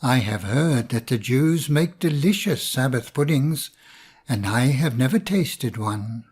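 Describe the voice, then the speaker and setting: A man's voice: medium pitch, lively intonation, unhurried, a little mellow, slightly rough. A man voicing a greedy, hot-tempered male bear in a storybook reading, blunt and demanding.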